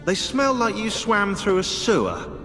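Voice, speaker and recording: Casting: dry, put-upon British man, sarcastic and very lively, slightly high-voiced, video game. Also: a little slow, full character voice. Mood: irritated. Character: sarcastic, grumbling British male team member. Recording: echoey hall, game music behind.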